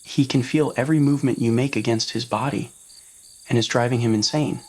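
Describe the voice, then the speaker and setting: Casting: narrator with a medium-low, unclear-gendered voice for scripted romantic roleplay fiction. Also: steady tone, a little fast, a little mellow. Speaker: narrator of fan roleplay audio stories.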